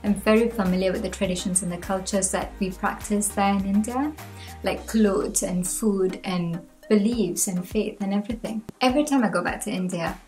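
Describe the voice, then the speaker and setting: A young woman raised in Malaysia sits in a room at home and vlogs to the camera in a mid-range voice. Her talk is earnest and chatty, with lively lifts in pitch. Faint music plays underneath. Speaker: vlogger. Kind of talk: talking to camera, personal reflection. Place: home room with bookshelves, indoors.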